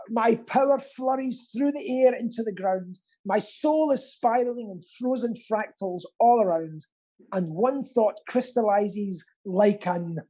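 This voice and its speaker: A man's voice: high-pitched, mellow, husky, poor audio. A middle-aged man hosting a live quiz with camp, rambling, self-deprecating banter. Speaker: quiz host.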